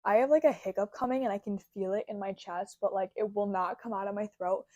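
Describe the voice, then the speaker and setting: Young woman, 20s, chatty crafter, mid-pitched and bubbly, slightly worn voice from a cold, close dry sound. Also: steady tone, fast talker, darkish tone, dry recording, lo-fi sound. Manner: bubbly and rambling, heavy use of "like". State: getting over a cold, recovering from a sore throat. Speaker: crochet content creator. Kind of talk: casual chatty vlog. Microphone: small clip-on mic.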